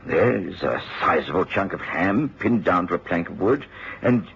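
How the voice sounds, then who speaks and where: Measured gentleman narrator and sidekick for radio drama; lively intonation, faintly noisy old recording. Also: low-pitched, creaky, dull audio, full character voice. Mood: puzzled, amazed.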